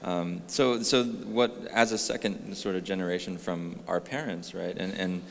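A man's voice: low voice, steady tone, moderate pace, creaky, slight background noise. A man in his thirties from California, earnestly explaining his ideas at a panel talk, holding a mic and restarting sentences. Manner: earnest, gestures while talking, many restarts. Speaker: artist panelist. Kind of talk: panel discussion. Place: gallery room with a live audience. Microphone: handheld mic. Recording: reverberant gallery hall.

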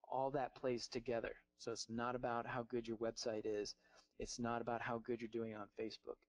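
A man's voice: mid-range voice, dry recording, poor audio. Man presenting slides in a dry room. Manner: halting, many fillers and restarts. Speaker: marketing presenter.

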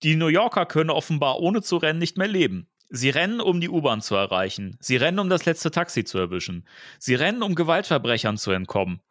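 Male podcast host, slightly high voice, reads prose with giggly, joking interruptions, dry sound. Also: very animated, fast talker, fairly bright tone, no echo. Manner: giggly, breaks off to comment. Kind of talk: reading a novel aloud with joking asides.

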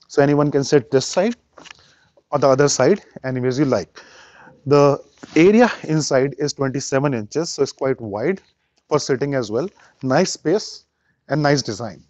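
A man's voice: medium pitch, a little mellow, no room sound, muffled recording. Indian man walking through a product. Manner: explanatory, measured, sales-minded. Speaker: furniture company presenter. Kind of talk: product walkthrough. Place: large furniture showroom indoors.

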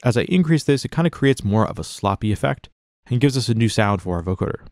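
A man narrating a casual step-by-step software tutorial in an informal, explanatory way. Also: medium pitch, expressive, fast talker, no room sound, studio quality.

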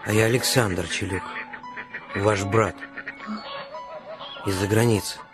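An actor playing an earnest, educated gentleman come home from abroad, formal and probing, in a radio play. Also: fairly low pitch, steady tone, slow pace, over music. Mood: earnest, serious.

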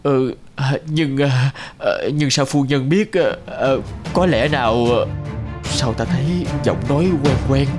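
A man's voice: medium pitch, darkish tone, close to an everyday voice. Man acting a remorseful folk-tale husband over music. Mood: flustered, guilty. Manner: halting, regretful. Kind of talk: animated folk-tale dubbing.

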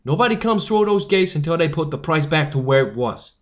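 Defiant streetwise newsboy leader, male actor, higher-pitched, commanding and slangy, for audio drama. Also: expressive, brisk, lightly acted.

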